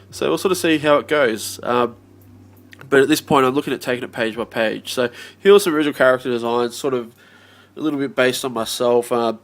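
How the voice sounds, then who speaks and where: A young man vlogging casually about his comic work, relaxed and rambling with lots of fillers, a bit sniffly with his voice slightly off. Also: medium pitch. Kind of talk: casual video diary.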